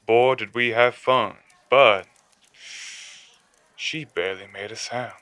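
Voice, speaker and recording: A man's voice: mid-range voice, slow pace, a bit bright, no room sound. A man playing a sinister, gloating killer who phones a radio show, taunting and theatrical, in a radio drama. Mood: gloating, creepy. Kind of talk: radio drama villain acting.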